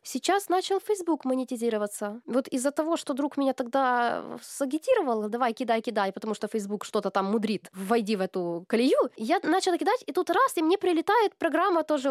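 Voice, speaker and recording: Higher-voiced emotional Ukrainian woman in conversation. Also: dry recording, studio quality. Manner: emotional, animated storytelling. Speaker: interview guest, blogger and live streamer. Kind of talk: conversational interview answers.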